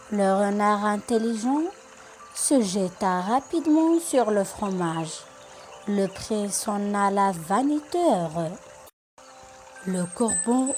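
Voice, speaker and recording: A woman storyteller reading a scripted bedtime tale for children in an expressive, deliberate way. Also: medium pitch.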